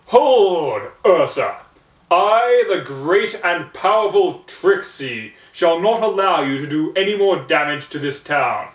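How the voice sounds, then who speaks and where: A man's voice: fairly high pitch, expressive, slow, pitched above the performer's own voice. A man voicing a grandiose, boastful female unicorn magician in a storybook reading, with pompous declamation. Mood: commanding. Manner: pompous, declamatory, speaks of herself in third person. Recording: roomy, distant mic.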